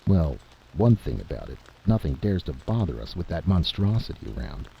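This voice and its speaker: A man's voice: very deep voice, big pitch swings, moderate pace, gravelly, dull audio, a little hiss or hum, heavily acted. A man voicing a weary, earnest and sceptical adventurer in a story reading. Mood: wary, doubting. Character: weary, sober, doubting male adventurer.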